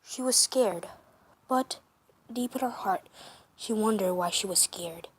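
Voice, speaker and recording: A woman narrating a fan story for her first amateur comic dub, halting with many restarts and self-corrections. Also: medium pitch, husky. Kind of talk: reading a fan story aloud.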